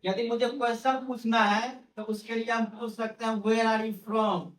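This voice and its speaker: A man teaches a classroom lesson, asking the students the same thing again and again. His voice sits high and carries through an echoey room. He sounds a bit far from the mic. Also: flat delivery, measured pace. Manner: questions the class over and over, repeats key phrases. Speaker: English teacher. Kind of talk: classroom lecture.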